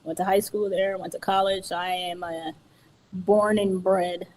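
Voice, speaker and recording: Woman guest raised in New York, easygoing storyteller, playful, quick to laugh. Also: on the low side, level tone, darkish tone, a little hiss or hum, low-quality recording. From New York, United States. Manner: playful, laughs while telling stories, repeats punchlines. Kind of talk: casual radio interview.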